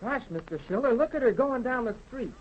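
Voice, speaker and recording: A man's voice: very high-pitched, animated, fairly quick, a little hiss or hum. An American male actor playing an earnest, polite boy telling his adventure in a 1930s radio drama. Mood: earnest narrating. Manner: polite, eager. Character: earnest, eager boy storyteller Jimmy. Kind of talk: 1930s radio acting, narrating. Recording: dull, muffled 1930s radio recording.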